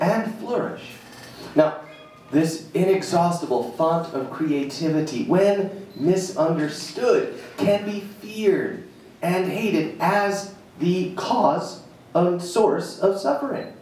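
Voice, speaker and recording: A man giving a live dramatic reading of an essay, deliberate and emphatic, pausing between short phrases. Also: on the high side, a little mellow. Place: live reading at a jazz club. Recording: echoey room, far from mic, faint noise.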